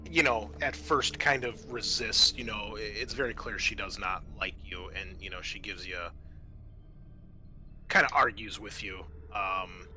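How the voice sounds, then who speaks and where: A man narrating a tabletop roleplaying game as game master, informal and halting as he gropes for words. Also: on the low side, bright, forceful tone, slight background noise.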